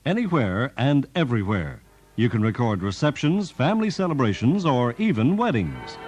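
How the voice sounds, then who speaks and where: A man's voice: medium pitch, big pitch swings, moderate pace, highs cut off. A man reading scripted 1960s instructional narration in a formal, explanatory manner. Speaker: announcer.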